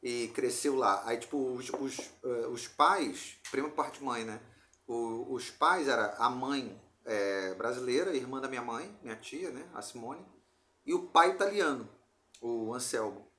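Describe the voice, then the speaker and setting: A man's voice: mid-range voice, measured pace, pushed and bright. A man with a Rio de Janeiro Brazilian accent chatting casually as a podcast host, telling anecdotes in a slangy, rambling way. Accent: Brazilian Portuguese, Rio de Janeiro. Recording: roomy echo.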